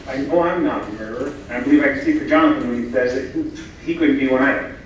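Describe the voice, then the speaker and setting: A man's voice: medium pitch, quick pace, close to an everyday voice. A man playing a timid, obliging assistant in a comedy stage reading, deferential and a little hesitant. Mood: nervous. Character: timid, obliging male assistant to a rich boss. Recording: very echoey room, distant mic, faint noise.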